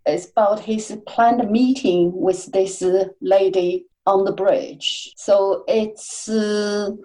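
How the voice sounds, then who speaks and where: A woman speaking as a guest on a podcast interview, soft-spoken and hesitant, with many fillers. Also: mid-range voice, steady tone, slow, rough recording. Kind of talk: casual interview chat.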